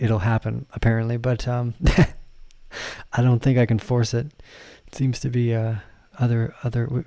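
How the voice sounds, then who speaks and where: A man's voice: medium pitch, warm tone, dry recording. A man hosting a casual livestream, talking through trading charts and reading viewers' comments in a rambling, self-correcting way with frequent laughs. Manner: addresses viewers and reads their comments. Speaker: crypto trading channel host.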